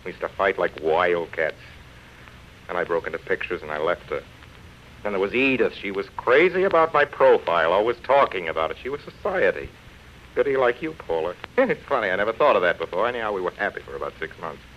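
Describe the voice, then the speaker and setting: A brooding, deep-voiced washed-up film star. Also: male voice, sing-song, brisk. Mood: brooding, wistful. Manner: theatrical, brooding, self-pitying. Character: washed-up, hard-drinking male film star, proud and bitter. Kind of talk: 1930s live radio drama acting. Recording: muffled, noisy 1930s radio broadcast.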